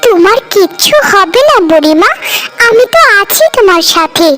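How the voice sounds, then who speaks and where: A woman voicing a kind, caring young rich village wife in a cartoon, gentle and attentive. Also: very high-pitched, expressive, moderate pace, soft background music. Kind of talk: cartoon voice acting.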